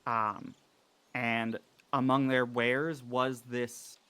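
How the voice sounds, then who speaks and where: A man describing his character in a tabletop game session, halting with many fillers and restarts. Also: mid-range voice, level tone, sharp tone, smooth. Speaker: player.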